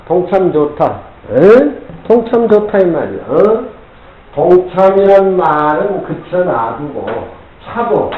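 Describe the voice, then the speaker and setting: A gruff older male teacher gives a scripture lecture, his fairly high voice swinging widely up and down as he prods his students for answers. The sound is drenched in heavy room echo. The old tape is dull and muffled, with a faint hiss underneath. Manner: gruff, keeps prodding listeners with "eh?". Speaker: Zen teacher.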